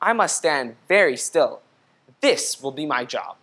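A man's voice: on the high side, sing-song, measured pace, a bit bright, breathy edge, heavily acted. A mild-mannered, curious storybook moose, voiced by a young man. He talks in simple, innocent, questioning lines meant for small children. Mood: surprised.

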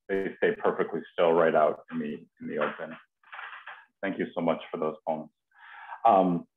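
Low-pitched American man, roomy call audio. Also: phone-quality sound. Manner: halting, thoughtful, repeats words. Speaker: poet and host of a reading series. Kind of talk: informal hosting and poetry reading. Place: small domestic kitchen, indoors. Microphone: headset on a video call.

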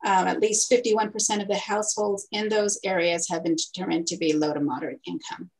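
A woman in her thirties, a city housing manager, presenting a staff report over a video call in a clear, methodical way. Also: on the low side, steady tone, a little fast, poor audio. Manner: clear, methodical, reads figures steadily.

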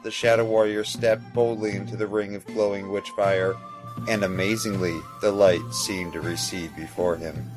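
A man's voice: on the low side, steady tone, normal speed. A man reading scripted, dramatic fantasy narration for an audio drama. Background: music.

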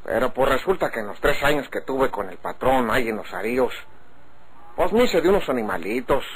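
Gravelly, folksy Mexican countryman. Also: medium pitch, lively intonation, a little fast, poor audio. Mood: folksy explaining. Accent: rural northern Mexican. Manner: folksy, rambling. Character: chatty, easygoing country horseman and sharecropper. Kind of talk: old-time radio drama acting. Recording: faint noise, cattle, old radio serial.

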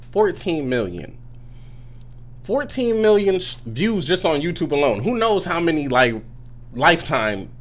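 A man's voice: mid-range voice, a bit bright. Young man giving slangy, laughing reaction commentary. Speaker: music reaction YouTuber. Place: small room indoors. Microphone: studio mic on a boom, headphones on.

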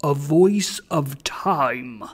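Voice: expressive, extremely slow, slightly rough, full character voice. A narrator voicing a stern, ancient goblin spirit of a great bell in a storybook, speaking in grave, accusing oratory. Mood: solemn, weighty. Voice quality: low, deep.

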